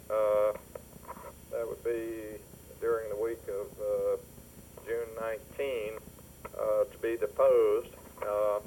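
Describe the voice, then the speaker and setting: Man, fairly low voice, halting formal delivery, business phone call with light noise on the recording. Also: darkish tone. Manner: halting, formal, deliberate phrasing. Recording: noisy recorded telephone line.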